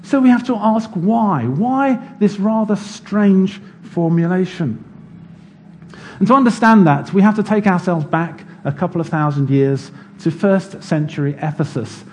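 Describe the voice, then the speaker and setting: A man preaching a sermon in a church, explaining scripture in a measured teaching manner. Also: high-pitched, a little mellow, slight background noise.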